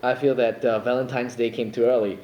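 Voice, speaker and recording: A man's voice: medium pitch, level tone, fairly quick, a little mellow, lo-fi sound, slight background noise. A young man from Singapore, a singer chatting casually in an interview, playful and jokey, rambling with many fillers. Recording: roomy echo, faint ambience.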